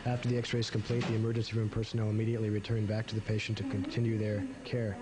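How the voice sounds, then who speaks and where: A man's voice: lowish voice, monotone, very fast. A man with an American accent narrating and hosting a medical program in an explanatory style. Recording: noisy old video recording.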